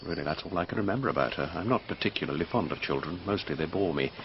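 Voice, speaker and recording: Deep-voiced, aloof garden designer. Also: male voice, animated, very fast. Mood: bored, disdainful. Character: aloof, vain, languid male garden designer. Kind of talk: radio drama acting. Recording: dull lo-fi radio drama, noisy.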